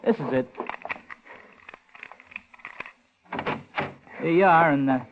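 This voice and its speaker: A man's voice: a little high, quick pace, lightly acted. An actor playing a chatty, cocky, star-struck young hotel elevator boy in a 1950s radio drama, slangy and rambling. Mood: eager, breezy. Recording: noisy tinny 1950s radio recording.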